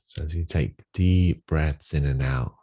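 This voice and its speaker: A man with a soft, husky voice guides a meditation, giving gentle instructions with long pauses. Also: rumbling low.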